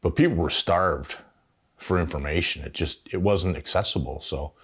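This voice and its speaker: A Canadian man in his fifties telling stories casually as an interview guest, in a halting, thoughtful way. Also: low-pitched, slightly rough. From Ontario, Canada. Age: 50s. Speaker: veteran tattoo artist, interview guest. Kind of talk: casual reminiscing, storytelling.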